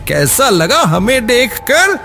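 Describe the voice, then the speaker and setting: A man playing a crazed, eerie man who gives ghostly warnings in a horror cartoon, with a slow, drawn-out, menacing delivery. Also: very high-pitched, very animated, gravelly, bright, forceful tone, exaggerated voice. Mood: gleeful menace. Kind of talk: Hindi animated horror story dialogue. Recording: long cavernous reverb over music.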